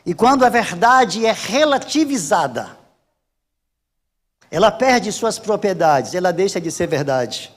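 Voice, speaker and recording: A Brazilian man preaching a sermon as a Baptist pastor, emphatic and repetitive, with deliberate pauses. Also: high voice, big pitch swings, long reverb tail. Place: large church hall during a service.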